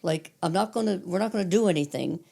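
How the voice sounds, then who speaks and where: An older woman from Cape Breton, a retired 911 dispatcher, telling detailed stories matter-of-factly in a hoarse, raspy voice. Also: on the low side, fast talker, mellow. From Cape Breton Island, Canada. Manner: matter-of-fact, detailed recollection. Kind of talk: casual storytelling interview.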